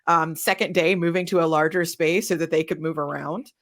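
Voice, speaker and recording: A woman's voice: low voice, steady tone, brisk. A woman talking casually as a panelist, telling stories from her own work and acting out little quotes. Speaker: panelist, audio drama creator.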